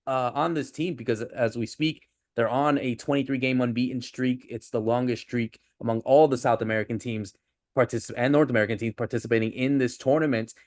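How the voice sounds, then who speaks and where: A man hosting a football podcast, introducing and questioning his guest in an upbeat, energetic way. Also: mid-range voice, brisk, dry recording, studio quality. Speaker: podcast host.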